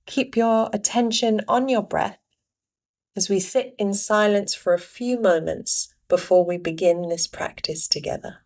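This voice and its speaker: A woman leading a guided meditation, giving gentle instructions in short phrases with pauses between them. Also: medium pitch.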